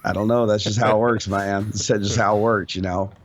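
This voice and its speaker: A man's voice: on the low side, fairly even intonation, a little fast. A man chatting casually and jokingly as a guest on a stream. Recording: clear background noise, clicks.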